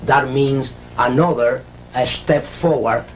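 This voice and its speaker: A man's voice: mid-range voice, takes it slowly, slight background noise, low-quality recording. A man explains religion in an emphatic, repetitive, didactic way, as if answering an interviewer. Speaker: religious speaker on prophecy. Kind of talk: interview answers, expounding church history and prophecy.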